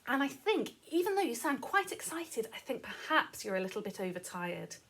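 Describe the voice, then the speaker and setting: A woman's voice: fairly high pitch, sing-song, moderate pace, a bit bright, exaggerated voice. Woman playing friendly caring feelings on the phone. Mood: kindly advising. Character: friendly, caring feelings phoning with gentle advice.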